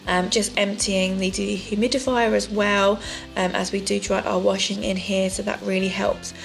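A woman's voice: medium pitch, little pitch movement, normal speed, gravelly, rough recording. A woman, chatting casually through a clean-with-me voice-over, with lots of ums. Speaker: vlogger. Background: soft background music.

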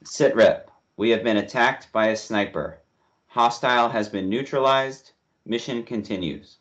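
A man's voice: mid-range voice, a little slow. A man in his thirties demonstrating an app and making radio-style calls over a video call. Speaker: demonstrator playing field operator. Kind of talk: practical demo and radio calls. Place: indoor room on video call. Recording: dull video call audio.

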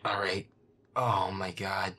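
A man playing a feisty, foul-mouthed werewolf boyfriend grumbling through a video game in a breathy, gravelly mutter. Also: low-pitched, level tone, takes it slowly, bright, forceful tone, a little hiss or hum, full character voice. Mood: tense muttering. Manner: irritable, sweary grumbling. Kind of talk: gameplay roleplay audio, muttered reactions.

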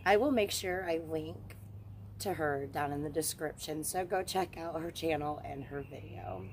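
A woman's voice: low-pitched, moderate pace, a little hiss or hum. A woman in her forties talking frankly and casually to a handheld phone camera outdoors in the woods. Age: 40s. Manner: frank, relaxed, chatty. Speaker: witchcraft channel host. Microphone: handheld phone camera at arm's length.

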